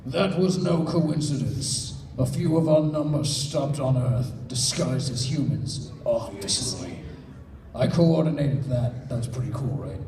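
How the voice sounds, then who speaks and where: A man playing a smug, boastful female cartoon creature, with a deliberate delivery. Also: mid-range voice, distant mic, a crowd in the background, lightly acted. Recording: echoey hall, off-mic.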